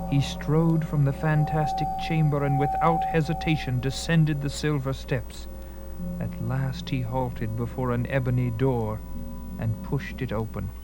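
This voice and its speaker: A man's voice: mid-range voice, low-quality recording. A man giving a theatrical, suspenseful scripted narration of an adventure story, with music behind him. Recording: loud music under the voice. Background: loud dramatic music.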